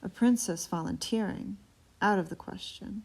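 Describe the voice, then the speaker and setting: A woman's voice: medium pitch, normal speed, creaky, breathy edge, low-quality recording. A woman voicing a stuffy, irritable dragon. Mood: huffy.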